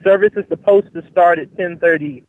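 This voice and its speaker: A man answering a phone call, halting and repeating words as he gives directions. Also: high-pitched. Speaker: church contact receiving a call. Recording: tinny phone line.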